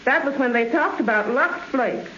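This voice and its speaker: A woman's voice: high voice, animated, moderate pace, bright, forceful tone, slight background noise. A woman speaking as a radio guest, giving a scripted 1930s testimonial. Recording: echoey muffled 1930s radio relay.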